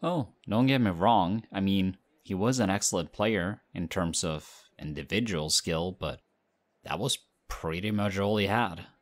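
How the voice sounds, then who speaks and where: A man voicing a friendly, modest young male team captain in an audio roleplay, talking in a relaxed, chatty way. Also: sits low, unhurried. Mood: excited, friendly.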